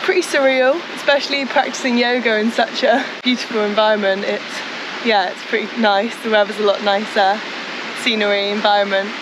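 A young woman from England answering questions on camera on a palm-covered island, soft and unhurried. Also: mid-range voice, fairly even intonation, background noise.

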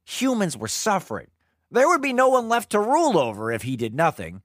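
A man narrates children's stories as host. His voice is a little high and swings widely in pitch, dropping into chatty asides. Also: wildly swooping pitch, fairly quick, fairly bright tone, no room sound, studio quality. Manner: conversational asides. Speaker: host and storyteller. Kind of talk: animated scripted storytelling for children.

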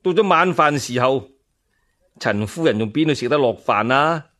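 A man telling a dramatized traditional tale in Cantonese, colloquial and expressive, with asides to his listeners. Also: medium pitch, moderate pace. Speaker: solo storyteller. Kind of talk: traditional Chinese radio storytelling, dramatized narration.

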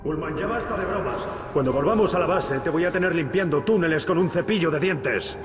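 A man's voice: a little high, brisk, lightly acted. An actor playing a stern middle-aged colonel who gives clipped, authoritative orders to his squad. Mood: commanding. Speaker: squad commander. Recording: echoey game audio from afar with music.

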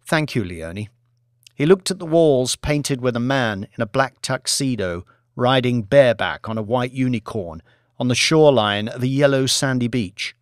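Expressive male audiobook narrator, very dry sound. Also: medium pitch, moderate pace, clear, resonant tone, dry recording. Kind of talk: scripted dramatic narration of a thriller.

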